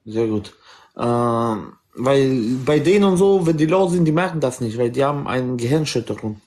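A man's voice: medium pitch, expressive, normal speed, a little mellow. A man hosting a phone livestream who teases his guests and stirs up arguments between them. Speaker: livestream host. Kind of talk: casual livestream moderating.

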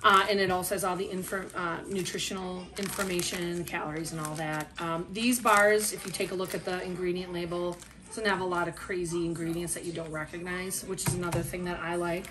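A woman's voice: lowish voice, level tone, a little fast, noisy background. A middle-aged woman talking informally and at some length in a casual product review, recorded at a table at home. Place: small room at home, indoors. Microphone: camera a short way off across a table.

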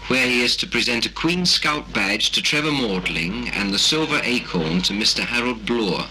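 A man's voice: medium pitch, lively intonation, normal speed, slight background noise, rough recording. A man reading a scripted commentary in the style of a 1960s documentary film. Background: loud music.

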